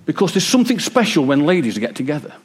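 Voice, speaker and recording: A man preaching a sermon in church, a bit croaky, with a joking, warm delivery. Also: a little high, sing-song, quick pace. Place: church hall with congregation. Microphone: wireless mic.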